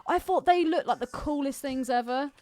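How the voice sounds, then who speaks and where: A woman's voice: high voice, normal speed, a little mellow, no room sound. A woman co-hosting a podcast, chatting casually about films in an informal, slangy, candid way. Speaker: podcast co-host. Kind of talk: casual film chat.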